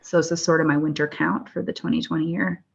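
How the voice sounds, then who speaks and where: Low-voiced woman speaking earnestly. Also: level tone, fast talker, vocal fry, muffled recording. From Standing Rock, US. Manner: earnest, thoughtful, with frequent restarts. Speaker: guest artist. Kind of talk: interview conversation. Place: Denver, US.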